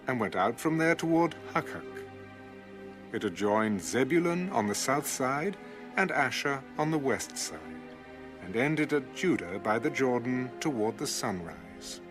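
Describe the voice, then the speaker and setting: Man narrating scripture with lively intonation. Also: medium pitch. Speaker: Bible narrator.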